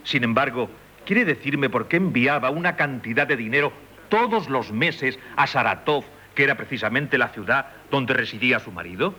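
Male, Castilian Spanish, bright forceful delivery: a courteous, probing examining magistrate, formal radio drama style. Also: mid-range voice, animated, moderate pace, slightly rough. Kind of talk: 1970s Spanish radio theatre acting.

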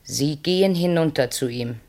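An actress playing a cold, seductive, commanding wife in a radio play, with solemn, deliberate, incantatory delivery. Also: female voice, low voice, darkish tone. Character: cold, commanding seductive wife plotting her husband's death. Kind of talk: classic radio play acting.

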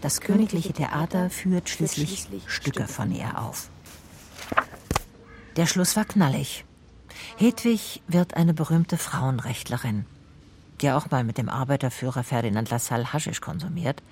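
Casting: female private eye for radio crime drama, low voice, measured, wry, probing. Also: darkish tone. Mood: dry, observant. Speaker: lead actress and narrator. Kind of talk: first-person radio detective drama.

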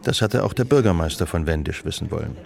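Low, gravelly male narrator. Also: lively intonation, a crowd in the background. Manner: measured, literary storytelling. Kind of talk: scripted radio drama narration.

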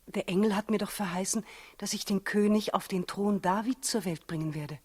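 An actress playing a pregnant young wife, anxious yet resolute, in a 1960s German radio play, with a breathy, husky voice. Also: female voice, medium pitch, moderate pace, darkish tone, close to an everyday voice. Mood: anxious, tender.

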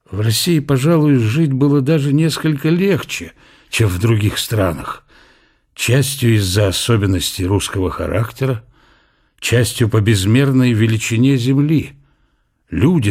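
Husky, brooding old Russian scholar. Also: male voice, mid-range voice, darkish tone. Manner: deliberate, weighty, philosophical musing. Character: Lomonosov, ailing aging scholar, bitterly reflective, proud of Russia. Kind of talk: radio play inner monologue. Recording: music behind the voice.

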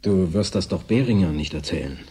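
A man's voice: deep voice, expressive, fairly quick, mellow, slight background noise. An actor playing a secretive, lonely man who insists he is sane, confiding intensely in a German radio drama.

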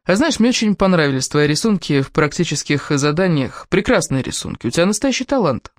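A woman voicing a kind, fatherly male teacher in an audiobook, restrained and gently teasing. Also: low voice, sing-song, fairly quick, no echo, studio quality. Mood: encouraging. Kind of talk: audiobook character dialogue.

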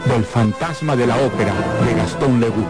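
Radio announcer guy, medium pitch, scripted, loud music under him. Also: moderate pace. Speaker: presenter.

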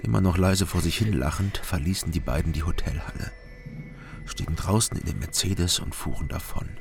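Deep, gravelly brooding male surveillance narrator. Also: fairly quick, background noise. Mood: brooding, sober. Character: brooding East German surveillance agent narrating his investigation. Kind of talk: first-person radio crime drama narration. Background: music.

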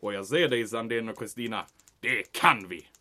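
An actor playing a self-satisfied wartime commandant in a comic audio drama, pompous and exclaiming. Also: male voice, on the low side, bright, forceful tone. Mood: delighted, pompous. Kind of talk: comedic audio drama acting.

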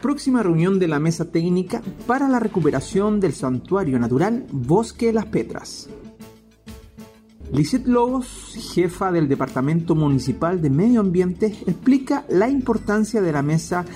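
A woman's voice: lowish voice, sing-song, moderate pace, warm tone. A woman reading a scripted news report as a radio reporter. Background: faint music.

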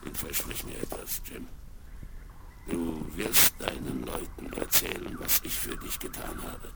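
A cunning old pirate leader in a vintage radio play, his gravelly voice roaming up and down as he coaxes and threatens. The sound comes from a crackly vinyl record with loud surface noise. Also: a bass voice, animated, a little slow, exaggerated voice. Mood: confiding. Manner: sly and wheedling, bursting into gruff commands. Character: cunning scheming male pirate cook, one-legged leader. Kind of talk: 1970s radio play acting.

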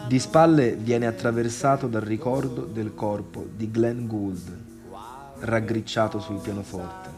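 A man reading scripted stage directions as a narrator, quiet and restrained. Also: on the low side, fairly even intonation, a little slow, over music.

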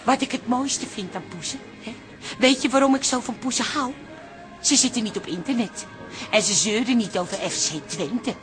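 A woman's voice: a little high, moderate pace, soft background music, natural voice. An actress playing an exasperated, cat-loving woman who is tender with animals in a radio play. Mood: wry, affectionate.

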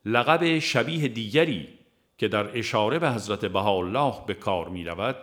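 A man with an Iranian Persian accent reads a religious audiobook in a measured, formal, literary manner. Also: lowish voice, lively intonation, fairly bright tone. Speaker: audiobook narrator. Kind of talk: scripted narration of a religious book.

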